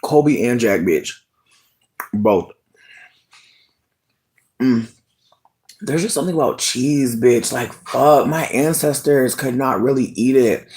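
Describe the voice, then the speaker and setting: A person livestreaming casual chat with viewers, sassy and expressive with lots of swearing, talking while snacking. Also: mid-range voice.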